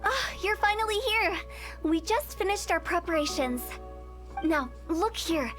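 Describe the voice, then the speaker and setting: A woman's voice: very high voice, animated, unhurried. A woman voicing a playful young female funeral parlor director in a video game, breezy and teasing as she explains things. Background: game music.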